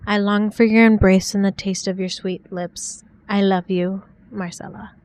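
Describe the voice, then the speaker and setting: A woman reading aloud as a young 1940s woman writing tender love letters, in a soft, heartfelt way. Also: medium pitch, level tone, measured pace, close to an everyday voice. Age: young adult.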